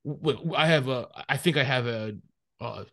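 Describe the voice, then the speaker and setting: Man, new england accent, podcast host, rambling casual talk, mid pitch. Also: moderate pace, fairly bright tone. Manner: rambling, many fillers and restarts.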